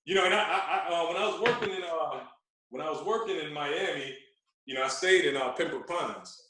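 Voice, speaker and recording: A man telling stories in casual conversation as a podcast guest, animated and often repeating words as he goes. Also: on the high side, fairly quick. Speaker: podcast guest, veteran radio host. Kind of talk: casual chat, storytelling. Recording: echoey room, distant from mic.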